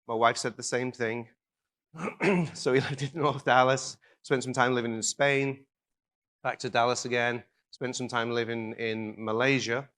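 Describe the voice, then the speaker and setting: A man with an Irish-tinged Liverpool accent giving a conference keynote in a relaxed, joking way, with lots of ums and restarts. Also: medium pitch, a little slow. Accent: Irish-tinged Liverpool English. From England, raised near Liverpool in an Irish family. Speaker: keynote speaker. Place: conference stage.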